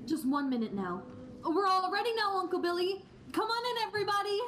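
Devoted young wife for radio drama, acted by a woman, high and eager, clear background noise. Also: animated, fairly quick. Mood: excited. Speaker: student actor. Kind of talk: radio play acting. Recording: noisy stage recording.

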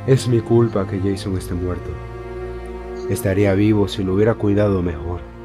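Man as grieving father, deep voice, self-blaming, Latin American accent, game dub, music behind. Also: fairly even intonation, normal speed, natural voice. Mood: guilty, grieving. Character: guilt-ridden grieving father. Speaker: voice actor in a game fan dub.

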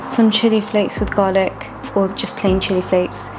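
A woman's voice: medium pitch, level tone, unhurried, noisy background. A woman, chatting casually as she talks viewers through a home cooking recipe step by step. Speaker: cooking vlogger. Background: background music.

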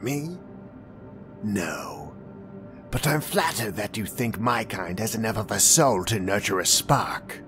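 An actor playing a calm, courteous male vampire with a thin, dry voice, speaking slowly and with polite menace in a fan audio drama. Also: fairly low pitch, music in the background. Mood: coaxing. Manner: slow, measured and politely menacing.

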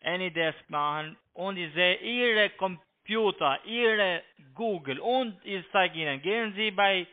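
A man with a foreign accent, not a native speaker, making a halting, repetitive phone pitch over a narrow tinny line. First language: not German. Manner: halting broken German, repetitive. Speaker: scam call-centre agent. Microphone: telephone.

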